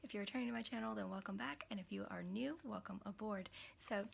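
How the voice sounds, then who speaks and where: A young woman, hoarse from a cold, chatting casually to camera in a small room, rambling with many fillers and restarts. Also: mid-range voice, fast talker. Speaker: vlogger.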